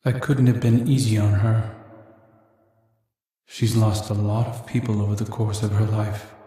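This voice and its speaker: A world-weary, thoughtful nobleman, played by a man in a video game, with a fairly low, dark voice. He confides his regrets slowly and ruefully, surrounded by heavy echo. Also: level tone, close to an everyday voice. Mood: rueful, pensive. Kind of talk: reflective video game monologue. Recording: very echoey voice.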